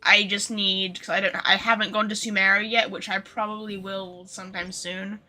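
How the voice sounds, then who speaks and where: A woman's voice: medium pitch, little pitch movement, normal speed, bright, forceful tone. A woman livestreaming a video game, chatting casually to viewers in a rambling, informal, sweary way.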